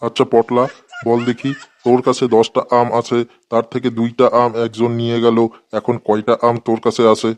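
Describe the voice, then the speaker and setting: A man's voice: medium pitch, monotone, moderate pace, natural voice. A man voicing a sarcastic, exasperated schoolteacher scolding pupils in a comedy cartoon.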